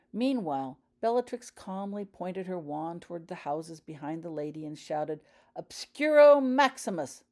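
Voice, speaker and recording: A woman's voice: low-pitched, dark tone. A woman reading a dramatic fantasy story aloud as a scripted audiobook narration. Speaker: author reading own fan fiction audiobook.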